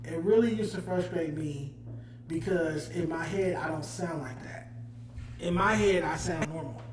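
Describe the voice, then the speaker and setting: A man's voice: fairly high pitch, normal speed, slight background noise. Chatty man in an echoey room. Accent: Los Angeles. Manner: loose, chatty, reads out viewer comments. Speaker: podcast host. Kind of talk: casual livestream chat.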